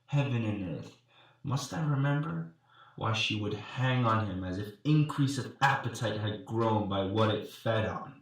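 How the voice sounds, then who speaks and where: A man performing a grieving, disgusted young prince in a Shakespearean soliloquy, with weighty theatrical delivery and long pauses. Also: fairly low pitch, expressive. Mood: anguished disgust. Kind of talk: Shakespearean dramatic monologue. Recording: very echoey, distant from the mic.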